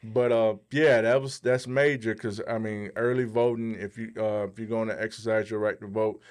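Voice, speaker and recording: A man gives casual commentary as a podcast co-host. His voice sits a little low. He speaks haltingly, pausing mid-thought as he works toward his point. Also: level tone.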